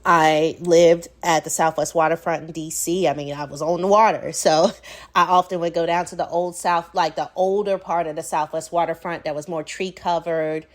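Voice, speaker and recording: A woman with a low voice and a Southern accent hosts her own podcast alone. She muses in a loose, unhurried way, with plenty of "like" and "um". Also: steady tone. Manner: casual, reflective, frequent "like" and "um" fillers. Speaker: podcast host. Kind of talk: solo podcast monologue.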